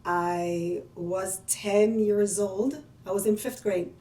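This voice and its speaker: A woman's voice: medium pitch, steady tone, takes it slowly, mellow. A woman from Israel, a podcast guest, talking in a chatty, self-deprecating way and laughing easily. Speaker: podcast guest, business owner. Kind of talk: casual conversational interview. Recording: roomy and distant from the mic.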